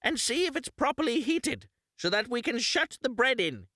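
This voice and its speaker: Storybook: man voicing a shrill, mocking old witch, lively pitch. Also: normal speed, no room sound, studio quality, heavily acted. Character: wicked cackling old female witch.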